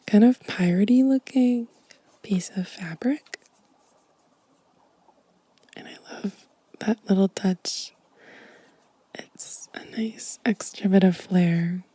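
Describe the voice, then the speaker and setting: A woman speaks in a very soft, dark ASMR voice, mostly whispered and full of breath. She is gentle and casual, drifting between whisper and quiet voiced speech as she shows things off. The sound is close and dry. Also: mid-range voice, slightly rough, no echo. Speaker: ASMR video host. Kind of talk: ASMR show and tell, whispered and soft-spoken. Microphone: close microphone, dry sound.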